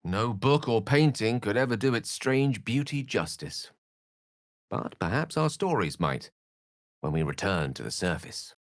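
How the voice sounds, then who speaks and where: A man's voice: lowish voice, animated, unhurried, dry recording, clean studio sound. An actor playing an eloquent, learned wizard in a video game, articulate and bookish, wry and earnest in his florid phrasing. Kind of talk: scripted video game character dialogue.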